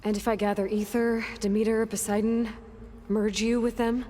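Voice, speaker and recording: An actress playing a determined, earnest young video game heroine who questions and reasons aloud in a natural, halting way. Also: female voice, a little high, little pitch movement, dark tone, long reverb tail, close to an everyday voice. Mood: worried, reasoning. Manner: questioning, hesitant, thinking aloud. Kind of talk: naturalistic game cutscene dialogue. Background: music.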